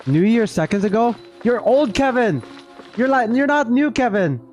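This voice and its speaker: A man's voice: extremely high pitch, big pitch swings, normal speed, darkish tone, soft background music. A man doing casual live game reaction commentary, chatting playfully with his viewers. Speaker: game streamer.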